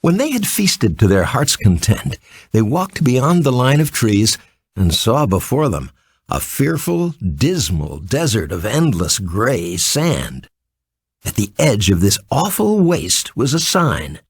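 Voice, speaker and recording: A man reading a children's story as an expressive scripted narration. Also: medium pitch, slow, breathy edge, dry recording, clean studio sound.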